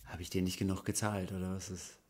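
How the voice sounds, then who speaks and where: A man's voice: low voice, little pitch movement, fast, darkish tone, close to an everyday voice. A man in his thirties improvising a jealous, mocking boss in a stage audio drama, repeating himself incredulously. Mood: probing. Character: Thorsten, jealous, mocking boss of a small business. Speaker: improv actor. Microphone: stand microphone at a table.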